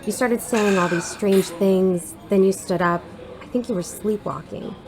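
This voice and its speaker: A woman's voice: mid-range voice, measured pace, music in the background, lightly acted, rough recording. A woman playing a helpful, patient young aspiring actor in a naturalistic audio drama, reassuring and lightly wry.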